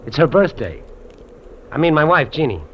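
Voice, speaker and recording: An actor playing a lonely, lovestruck fugitive trapper, earnest and confiding, in 1950s radio drama style. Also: male voice, fairly high pitch, very animated, normal speed. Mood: wistful, tender. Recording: dull, noisy old radio recording.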